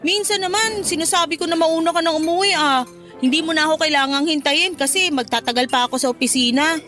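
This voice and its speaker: A woman's voice: sits high, fast, sharp tone, faint music behind. An actress playing a cheeky young career woman who banters with her best friend in a radio drama, teasing playfully. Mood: mock indignant. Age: young adult. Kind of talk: Tagalog radio drama acting.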